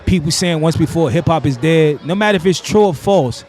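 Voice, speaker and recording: Male podcast host from New Jersey, higher voice, laid-back slang-heavy hype delivery. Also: expressive, brisk, a little mellow. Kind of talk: casual interview. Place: outdoors.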